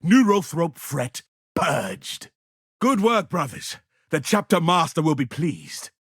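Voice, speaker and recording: An actor playing a devout, commanding space marine with a husky voice in a video game. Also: male voice, on the high side, sing-song, takes it slowly, a bit bright, dry recording, clean studio sound, exaggerated voice. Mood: solemn menace. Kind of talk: video game combat voice lines.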